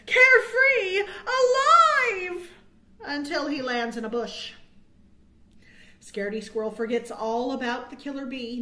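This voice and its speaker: A middle-aged woman reading a picture book aloud to young children with expressive, dramatic emphasis and chatty asides, as a storytime host. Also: sits high. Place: small indoor room. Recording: roomy, echoing indoor sound.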